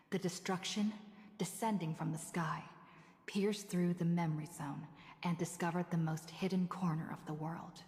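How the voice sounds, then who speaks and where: An actress voicing a composed young heroine who solemnly recounts a fantasy game's story in measured, scripted narration. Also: female voice, on the low side. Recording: echoey, long reverb, music behind. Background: music.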